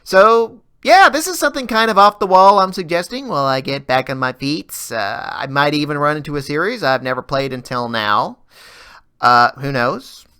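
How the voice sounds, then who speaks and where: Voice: mid-range voice, expressive, normal speed, sharp tone, clean tone. A gamer giving a rambling, casual update in a self-deprecating way. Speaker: video game Let's Player.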